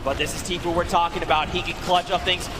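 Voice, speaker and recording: A young man doing live play-by-play commentary on a headset mic, excitable and rising to shouts, his voice going hoarse. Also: on the high side, fast talker, noisy background. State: losing his voice. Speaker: esports caster. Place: large arena stage with live audience.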